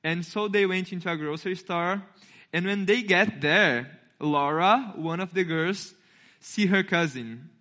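A man's voice: high voice, a little slow, pushed and bright. A man in his twenties from Brazil with a Brazilian Portuguese accent, a native Portuguese speaker, giving a friendly sermon and acting out the voices in his story. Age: 20s. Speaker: intern and youth speaker.

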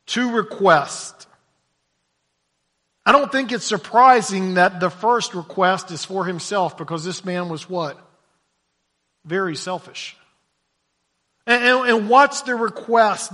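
A man preaching a sermon, dropping to hushed low lines and rising to loud shouted emphasis, often repeating words as he goes. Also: long reverb tail. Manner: repeats words when stumbling.

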